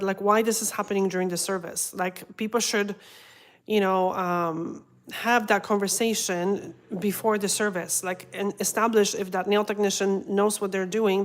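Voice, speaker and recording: Frank woman with a non-native lilt. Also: medium pitch. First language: not English. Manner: frank, opinionated, many fillers. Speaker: nail technician co-host. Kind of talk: casual livestream chat.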